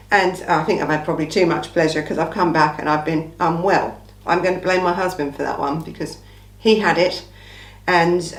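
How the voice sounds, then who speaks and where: A woman from England hosting a casual true crime Q&A, her voice croaky from a cold, talking in a blunt, chatty, opinionated way. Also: fairly low pitch, roomy sound, distant mic, rough recording. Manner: chatty, blunt, opinionated, says "listen" often. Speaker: true crime channel host. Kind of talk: casual Q&A monologue.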